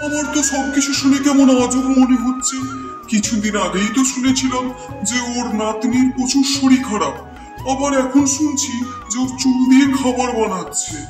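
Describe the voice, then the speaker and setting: Man acting a very high-pitched puzzled village shopkeeper. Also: normal speed. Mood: baffled, suspicious. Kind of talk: Bengali cartoon moral story dialogue. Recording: echoey voice over music bed.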